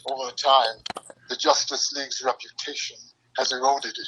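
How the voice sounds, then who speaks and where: An actor playing a grave, measured alien male superhero in an animated script reading. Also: medium pitch, slight background noise, natural voice, low-quality recording. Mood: somber.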